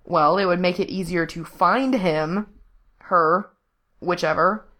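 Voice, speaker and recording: A woman's voice: on the low side, slow, a bit bright. Woman acting an irritable, sarcastic male medic. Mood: tense, sarcastic. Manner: snappish, sarcastic. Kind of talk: acted audiobook dialogue.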